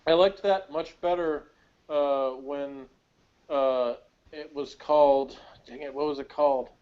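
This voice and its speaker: Rambling man in casual chat, slightly high voice. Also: steady tone, slow pace, clean tone, muffled recording. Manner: rambling, searching aloud for details. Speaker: podcast co-host. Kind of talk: casual sci-fi TV review chat.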